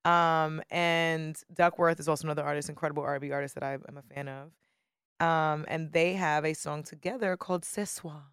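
A woman's voice: low-pitched, steady tone, brisk, a bit bright, clean tone, no echo, clean studio sound. A woman co-hosting a podcast, chatting casually and enthusiastically, gushing about songs and artists she loves. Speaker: podcast co-host. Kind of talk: casual chat about new music.

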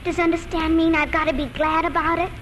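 A woman's voice: very high voice, little pitch movement, fairly quick, fairly bright tone. A female performer in a 1940s radio drama playing a curious little farm girl talking to her father. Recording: hissy vintage 1940s radio recording.

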